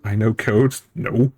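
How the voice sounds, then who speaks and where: A man's voice: mid-range voice, mellow, no echo. A man streaming a story game, reading its text aloud and adding casual, musing reactions as he thinks it through. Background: game music.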